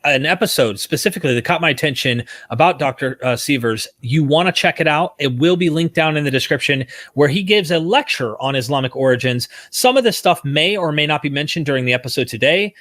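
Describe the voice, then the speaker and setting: Male podcast host, lively voice, upbeat interviewer, dry close recording. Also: fairly high pitch, brisk, hardly any pauses, dry recording. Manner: friendly, promotional, questioning. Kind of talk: interview hosting.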